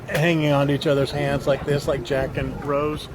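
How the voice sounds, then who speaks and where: A man's voice: a little high, flat delivery, moderate pace. A man hosting a casual live stream while walking outdoors, joking and teasing his viewers, talking into a handheld phone. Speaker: livestream host. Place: outdoors along a beach and city streets. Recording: loud outdoor street and water noise.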